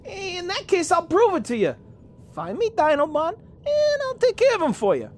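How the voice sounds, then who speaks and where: A woman's voice: very high voice, huge pitch swings, moderate pace, noisy background, heavily acted. A woman voicing a cocky, scrappy male robot rat who needles others in slangy, mocking talk for a fan radio drama. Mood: excited, pushy.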